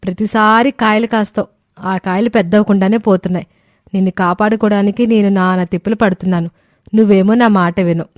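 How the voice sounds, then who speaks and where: A woman's voice: medium pitch, steady tone, a little fast, no echo, natural voice. Woman acting earnest young daughter-in-law, dry sound. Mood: affectionate, pleading. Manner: earnest, pleading. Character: cheerful, pleading, stubborn young village daughter-in-law. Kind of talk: cartoon moral-story voice acting.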